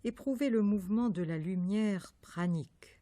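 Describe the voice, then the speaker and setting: A woman leading a guided yoga meditation, giving calm, measured instructions with pauses between phrases. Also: medium pitch, no echo. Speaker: yoga nidra meditation teacher.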